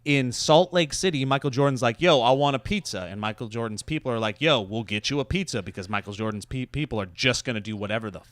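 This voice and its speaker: A man telling stories and pitching ideas casually on a podcast, digressive and loosely conversational, with some swearing. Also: fast talker, few pauses, fairly bright tone, dry recording. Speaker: podcast host. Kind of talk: casual comedic storytelling and pitching.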